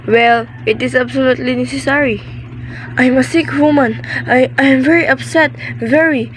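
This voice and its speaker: A woman's voice: high voice, fairly even intonation, normal speed, a little hiss or hum, lightly acted, low-quality recording. A woman playing a nervous, demanding invalid wife in a radio thriller, agitated and insistent in her manner. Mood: anxious.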